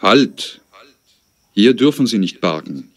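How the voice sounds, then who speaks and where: Man acting as strict parking warden, firm stern delivery, low voice, slow pace. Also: big pitch swings. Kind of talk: scripted textbook dialogue.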